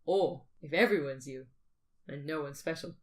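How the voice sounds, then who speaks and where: A woman's voice: deep voice, moderate pace. Woman chatting casually on a podcast. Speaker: podcast co-host. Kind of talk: casual chat, hypothetical musing.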